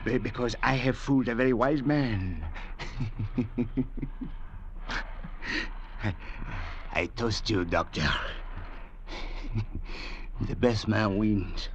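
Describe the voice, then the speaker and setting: An actor playing a cunning, greedy castaway with a mock-courteous, gloating manner in an old-time radio drama. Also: male voice, lowish voice, slow, long pauses, muffled recording. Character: cunning, greedy, menacingly polite male castaway. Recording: vintage radio recording with faint noise.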